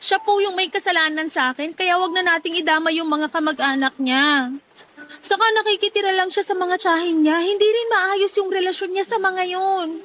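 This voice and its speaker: A woman's voice: very high voice, moderate pace, a bit bright. A woman playing a distressed, resentful young pregnant daughter in a radio drama, arguing and pleading. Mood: defensive, pleading. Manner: pleading, argumentative. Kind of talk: Tagalog radio drama acting.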